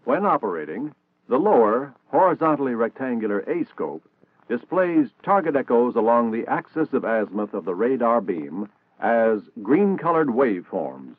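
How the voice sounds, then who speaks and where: A man's voice: mid-range voice, very animated, moderate pace, no room sound. An American man narrating a scripted 1960s military training film in a formal, precise, instructional manner. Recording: dated narrow-band 1960s film soundtrack.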